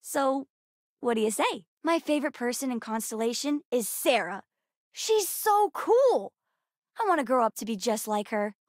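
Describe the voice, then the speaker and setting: A woman voicing a bright, bookish, chatty young girl with an American accent, as a video game character. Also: fairly high pitch, moderate pace, no echo, studio quality, lightly acted. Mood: chatty, curious. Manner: chatty, expressive, youthful.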